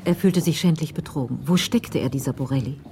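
A woman narrating a crime radio drama in a cool, measured, literary style. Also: sits low, mellow.

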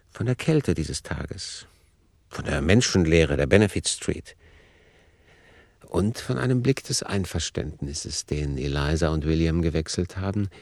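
An actor playing a detached narrator who sets out notes for a novel in a clinical, deliberate way, in radio drama style. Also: male voice, rumbling low, darkish tone, dry recording, studio quality. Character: analytical male narrator dictating notes on a novel.

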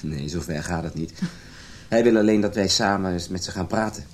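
Persuasive dutiful husband, husky voice on the low side, chatty radio play delivery. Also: male voice, brisk. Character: dutiful, persuasive husband, loyal to his club friends. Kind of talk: Dutch radio play acting.

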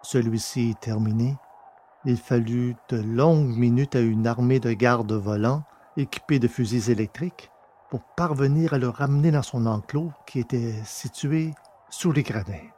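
A man reading scripted adventure fiction as a dramatic audiobook narrator, his voice slightly husky. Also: medium pitch, unhurried, mellow, slight background noise.

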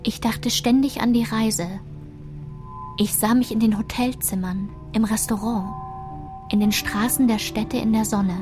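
An actress playing a woman who recalls her painful girlhood, narrating a literary radio drama in a measured, reflective way. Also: fairly high pitch, fairly even intonation, over music, close to an everyday voice.

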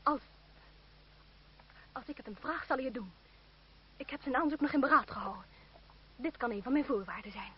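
A woman's voice: sits high, normal speed, pauses often, slightly rough. An actress playing a brave, loyal young noblewoman in a radio drama, speaking urgently with formal, imperious diction. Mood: pleading, commanding. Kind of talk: old Dutch radio drama acting, formal theatrical diction. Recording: dull, noisy old radio play recording.

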